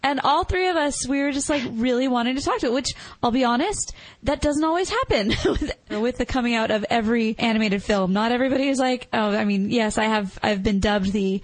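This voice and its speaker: A woman's voice: on the high side, fairly quick, dry recording. A woman co-hosting a podcast in a casual, chatty way, often saying "like" as she goes. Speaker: podcast co-host. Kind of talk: casual chat.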